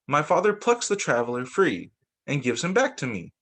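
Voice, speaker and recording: A man reading storybooks aloud as a teacher for young children, in an expressive way with the occasional stumble and re-read phrase. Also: fairly high pitch, moderate pace.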